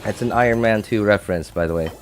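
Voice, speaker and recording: Low-pitched man chatting on a game stream. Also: fast, faint music behind. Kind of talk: casual live-stream chat, answering viewers' game questions.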